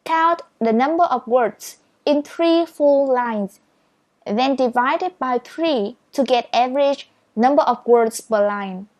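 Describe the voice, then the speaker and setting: Slow, encouraging woman teaching speed reading. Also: sits high, darkish tone. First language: Vietnamese. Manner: encouraging, patient. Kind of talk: step-by-step tutorial.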